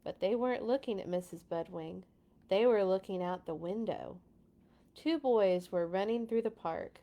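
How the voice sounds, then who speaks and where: A woman with a medium-pitched voice hosts a read-along for children. She reads in an expressive storybook style, stressing key words with drama and pausing for effect, and keeps a casual touch.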